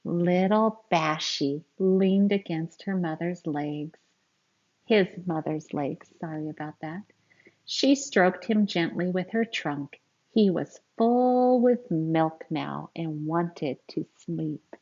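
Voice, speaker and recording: A woman with a slightly low voice reads a children's picture book aloud at an unhurried pace. She is expressive and teacherly, addressing her young listeners directly. The recording is a little dull and lo-fi. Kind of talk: read-aloud storytelling with asides to young listeners.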